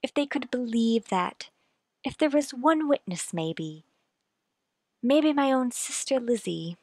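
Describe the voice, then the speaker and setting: An actress plays a concerned woman in an old-fashioned radio drama. Her voice is fairly high, with a gentle, earnest and soothing manner. It is recorded dry. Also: animated, slow pace, no echo, heavily acted. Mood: gentle, persuading. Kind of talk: old-time radio play acting.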